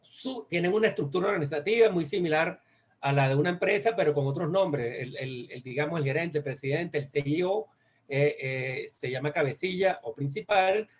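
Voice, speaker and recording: A Venezuelan man presents research in a slightly high voice. He speaks in a halting way, doubling back on phrases and searching for the right word as he explains. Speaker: guest speaker. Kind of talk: academic presentation on a research study.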